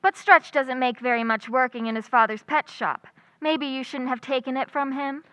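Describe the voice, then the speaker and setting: High, bright wry schoolteacher played by an actress. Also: female voice, fairly even intonation, normal speed, no room sound. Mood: surprised, dry. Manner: dry wisecracking delivery. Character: wry, quick-witted single schoolteacher woman, lovelorn and sardonic. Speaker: lead actress. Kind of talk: old-time radio comedy acting.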